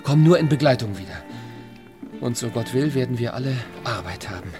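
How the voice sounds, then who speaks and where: An actor playing a pious, resigned friend in a radio drama, speaking earnestly. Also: male voice, medium pitch, lively intonation, brisk, music in the background, close to an everyday voice. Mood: concerned, hopeful. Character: pious male friend bidding farewell in plague times. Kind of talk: German radio drama acting.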